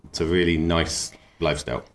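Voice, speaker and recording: A man with a very deep voice talks in a relaxed, rambling way, clearly enthusiastic about his work. A faint hiss of noise sits under the recording. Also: a little fast. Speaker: knifemaker and cook. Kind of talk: relaxed interview talk.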